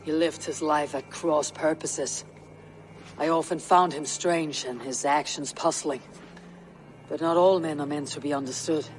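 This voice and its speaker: An actor playing a grim, blunt Viking raider in a game cutscene. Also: male voice, on the high side, fairly even intonation, a little hiss or hum, natural voice, rough recording. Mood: steady. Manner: blunt, measured. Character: stern male Viking raider, grim and steady. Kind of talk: video game cutscene acting.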